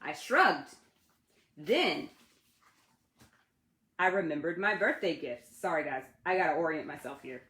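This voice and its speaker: A woman reading a children's storybook aloud in an animated, dramatic storytelling manner. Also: low voice, measured pace, pauses often. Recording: roomy, echoey sound.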